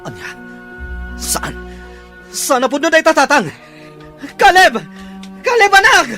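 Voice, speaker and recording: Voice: high voice, wildly swooping pitch, slow, pushed and bright, heavily acted. An actor playing an anxious, grieving parent in an Ilocano radio drama, with music behind the voice. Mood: anguished, grieving. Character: distraught adult child, grieving parent of young Caleb.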